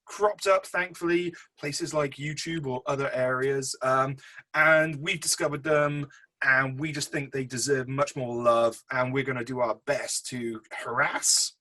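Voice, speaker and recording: A man's voice: medium pitch, fairly even intonation, a little slow, pushed and bright. A man around forty hosting a casual film review show in a chatty, jokey, informal way. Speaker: podcast host and filmmaker.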